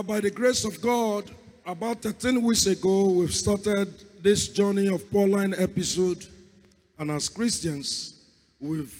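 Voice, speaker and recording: A male preacher who has lost his voice, hoarse and fervent, opening with a prayer and going on to a sermon. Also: high-pitched, a little mellow, in a large hall. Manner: fervent, repeats calls to God. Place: church hall.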